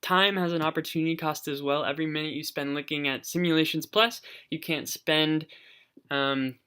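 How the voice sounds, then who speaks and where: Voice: medium pitch, fairly even intonation, normal speed, sharp tone, clear, resonant tone. The host of an investing channel talks viewers through a company's figures on screen, casually thinking aloud with frequent ums and coaching them directly. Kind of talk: screen-share stock research walkthrough.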